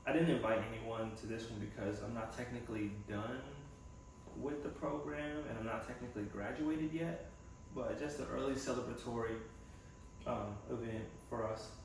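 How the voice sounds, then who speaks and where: A young man thinks aloud in a casual, reflective vlog style. His voice sits at a medium pitch with plenty of gravelly fry. It is recorded some way from the mic in a strongly echoing room. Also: moderate pace. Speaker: vlogger and physical therapy assistant student. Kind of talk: casual personal vlog, chatting to camera. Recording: echoey room from a distance, faint noise.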